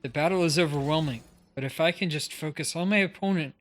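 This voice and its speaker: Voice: mid-range voice, quick pace, a bit bright. A streamer reading game dialogue aloud in a dramatic, playful way during a livestream. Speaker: live streamer.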